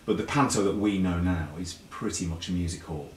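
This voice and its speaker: A man's voice: deep voice, a little fast. A British man talking casually as a chat show guest, explaining things in a chatty way and often restarting his phrases. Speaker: interview guest, pantomime writer and performer. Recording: echoey room, distant mic, faint background noise.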